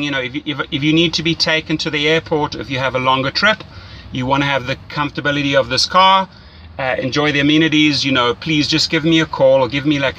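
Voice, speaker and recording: A man's voice: a little high, bright, forceful tone, muffled recording, slight background noise. A man giving casual, enthusiastic, salesy coaching as a rideshare mentor, often checking in with "right?". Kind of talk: casual coaching phone call.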